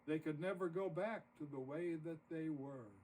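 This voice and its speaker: A man narrating a rhymed story poem expressively, with dramatic, deliberate phrasing. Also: fairly high pitch, darkish tone. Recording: distant mic with audible noise.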